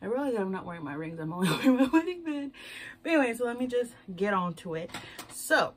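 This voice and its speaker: A woman's voice: a little high, sing-song, fast talker, slight background noise. A young woman from Houston, chatting in a loose, rambling way while doing her makeup in a small bedroom, talking to a camera at desk distance. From Houston, Texas. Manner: rambling, loose, swears casually, self-mocking. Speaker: beauty vlogger. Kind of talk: casual chatty get-ready-with-me. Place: small decorated bedroom, indoors.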